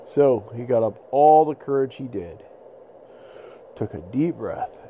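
A man telling an improvised bedtime story to children in simple, explanatory phrases with pauses. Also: medium pitch, animated, dark tone, a little hiss or hum. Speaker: podcast storyteller.

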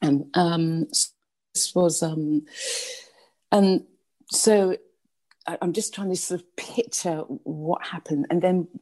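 A woman in her sixties from Nigeria with a well-spoken London English accent, telling her life story in an interview with halting restarts. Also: fairly low pitch, fairly even intonation, mellow. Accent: English, London middle class. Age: 60s. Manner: rambling, halting storyteller with many restarts and fillers. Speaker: guest. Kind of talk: casual interview, personal storytelling.

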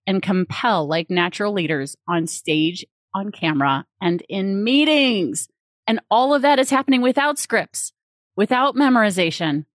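A woman's voice: fairly high pitch, no echo, clean studio sound. A woman hosting a podcast interview in an upbeat way, laughing easily. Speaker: podcast host.

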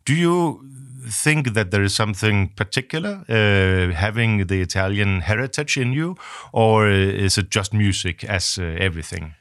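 A man hosts a podcast interview, asking long, meandering questions full of fillers. His voice is very low, and it is recorded dry and close. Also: animated, normal speed, a bit bright, dry recording. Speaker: podcast host.

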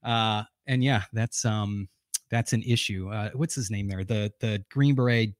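A man's voice: fairly low pitch, unhurried, no echo, studio quality. A man hosting a casual podcast, joking and rambling as he introduces guests. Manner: joking, rambling, laughs a lot. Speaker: host.

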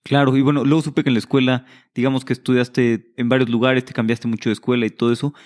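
A male interviewer guides the talk with calm, measured questions. His voice sits at an ordinary middle pitch.

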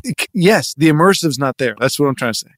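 A man playing an inarticulate, hesitant venue owner in improvised comedy, stammering and halting as he makes demands. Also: on the high side, lively intonation, no echo, studio quality, natural voice. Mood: awkward, insistent.

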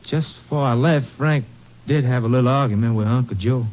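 A hesitant, touchy farm man played by an actor with a rural Southern American accent. His voice sits at middle pitch, evasive and defensive, on a muffled old western radio broadcast. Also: fairly quick, lightly acted. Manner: hesitant, evasive, easily riled. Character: uneasy, defensive male Texas farm brother. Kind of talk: 1950s western radio drama acting.

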